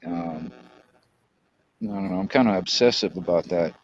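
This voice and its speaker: A man's voice: low voice, moderate pace, muffled recording, a little hiss or hum. A middle-aged American man from Florida chatting casually, telling things in a rambling, hesitant way with slangy wording. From Florida, United States. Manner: rambling, hesitant storytelling with slangy, mildly profane speech. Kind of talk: casual chat.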